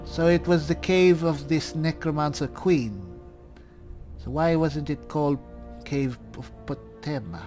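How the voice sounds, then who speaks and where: A man voicing a polite, bumbling cleric adventurer in in-character gameplay commentary, halting and musing. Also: a little high, a little mellow, faint music behind, close to an everyday voice. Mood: musing, eager. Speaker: roleplaying game player.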